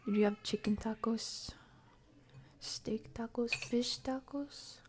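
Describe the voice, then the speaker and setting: A woman's voice: a little high, steady tone, slow, in a whisper. A woman hosting a livestream, chatting casually as she reacts to videos and reads out comments. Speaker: livestream host.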